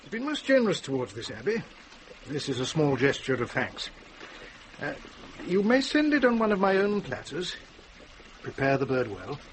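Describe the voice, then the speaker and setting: An actor playing a haughty, fastidious prior in a radio drama, precise, self-important and commanding. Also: male voice, on the high side, big pitch swings, slight background noise, rough recording.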